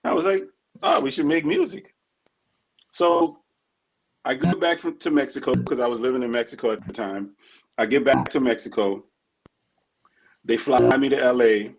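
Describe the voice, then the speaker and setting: A man who is a music producer and bassist, telling stories in a laid-back interview. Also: normal speed, long pauses, a little hiss or hum, poor audio. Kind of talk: relaxed interview talk.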